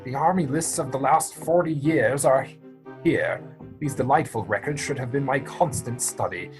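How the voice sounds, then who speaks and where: A man playing an earnest, excitable young gentleman in a theatrical stage comedy reading. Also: medium pitch, normal speed, music in the background, close to an everyday voice. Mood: urgent. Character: earnest, excitable young English gentleman seeking his true parentage. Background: musical score.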